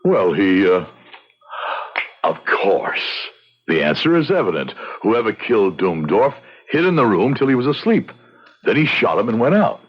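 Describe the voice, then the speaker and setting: Dignified, low-voiced frontier judge. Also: male voice, sing-song, husky. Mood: earnest, reasoning. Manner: formal, measured, emphatic. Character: dignified frontier judge, formal, earnest, easily baffled. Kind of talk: old-time radio drama acting and narration. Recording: muffled radio recording with music behind.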